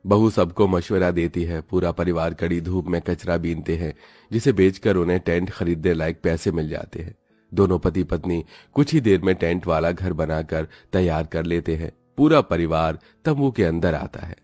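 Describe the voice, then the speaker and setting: A man's voice: low-pitched, normal speed, a little mellow, no room sound. A man narrating a dramatic scripted story. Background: faint music.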